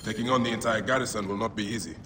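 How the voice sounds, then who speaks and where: An actor playing a loyal fighting companion in an ancient Egypt game cutscene, blunt and businesslike. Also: male voice, on the low side, fairly even intonation, rapid-fire, close to an everyday voice. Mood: earnest, eager. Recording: reverb with clear background noise.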